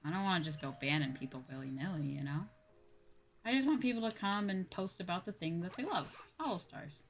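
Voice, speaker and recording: A woman's voice: on the low side, expressive, brisk, slight background noise. A woman livestreamer chatting casually and informally, thinking aloud as she goes. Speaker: livestreamer and VTuber host.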